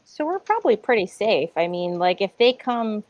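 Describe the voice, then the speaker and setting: A woman of average pitch talks casually as a tabletop game player. The sound is dry and a bit dull, like an online voice call. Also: sing-song, moderate pace, a little mellow, no echo.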